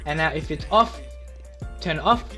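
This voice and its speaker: A man's voice: fairly high pitch, moderate pace, sharp tone, soft background music. A young man hosting a casual tutorial in a chatty, eager, repetitive way. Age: young adult. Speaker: YouTube tutorial host.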